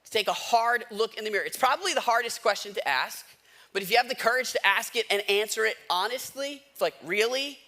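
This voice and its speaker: An American man giving a conversational sermon as a pastor, emphatic, repeating key phrases with pauses. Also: sits high, bright, forceful tone. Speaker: pastor preaching to a congregation.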